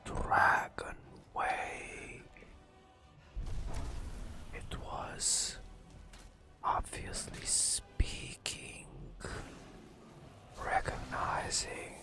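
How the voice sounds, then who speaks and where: A man softly narrating a fantasy game as ASMR, in a breathy whisper with slow, dramatic storytelling and long pauses. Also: over music.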